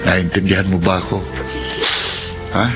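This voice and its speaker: Radio drama role: supportive, protective big brother, acted by a man, lowish voice, gentle manner, slightly dull recording. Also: completely flat delivery, moderate pace, close to an everyday voice. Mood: comforting. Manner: gentle, reassuring. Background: music.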